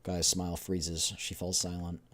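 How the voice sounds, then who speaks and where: A woman's voice: a bass voice, level tone, unhurried. A woman streamer reading a game story aloud as scripted narration. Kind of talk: scripted narration with voiced readthrough.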